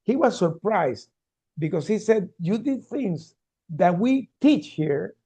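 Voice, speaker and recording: A man's voice: sits high, sing-song, slow, dark tone, breathy edge. A man from Venezuela, telling his story earnestly as a keynote speaker and often restarting his words. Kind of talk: storytelling talk on a video call.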